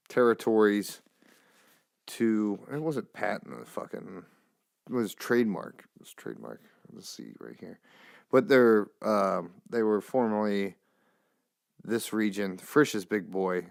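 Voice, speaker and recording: A man's voice: lowish voice, creaky, smooth, dry recording, studio quality. A man hosting a podcast with a nasal voice, rambling casually in a loose, profane way with meandering asides. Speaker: podcast host.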